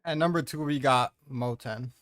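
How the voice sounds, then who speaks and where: A man's voice: mid-range voice, normal speed, very noisy. Blunt, sweary man ranting through a countdown. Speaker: host of a manga ranking commentary. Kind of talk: casual opinionated countdown commentary.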